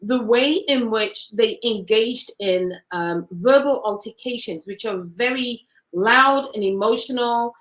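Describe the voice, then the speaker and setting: Woman from Cameroon, interview guest, earnest and reflective, pitched a little high. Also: unhurried, few pauses, a bit bright, poor audio.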